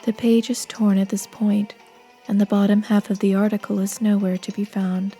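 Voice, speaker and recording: A woman's voice: medium pitch, little pitch movement, moderate pace, warm tone, slightly rough, soft background music. A woman performing the solemn scripted narration of a horror game dub. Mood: grave.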